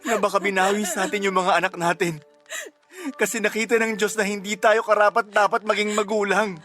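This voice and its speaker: Radio drama husband: bereaved, blaming and pleading, high-pitched tense delivery. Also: male voice, little pitch movement, brisk, sharp tone, faint music behind. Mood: grief-stricken. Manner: tearful, accusatory, pleading. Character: anguished, blaming husband grieving his lost children. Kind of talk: emotional radio drama acting.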